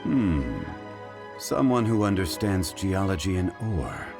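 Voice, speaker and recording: A man voicing a refined, scholarly gentleman in a video game, with a measured, formal and composed manner. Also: deep voice, sing-song, vocal fry, exaggerated voice. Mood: calm. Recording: music behind the voice.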